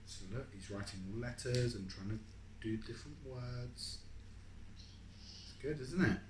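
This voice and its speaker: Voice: mid-range voice, measured pace. An adult reading a picture book aloud to a young child, encouragingly, with guiding questions and stretched-out story phrases. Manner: encouraging, asks guiding questions and confirms answers. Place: echoey room at home. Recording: roomy echo, some background noise.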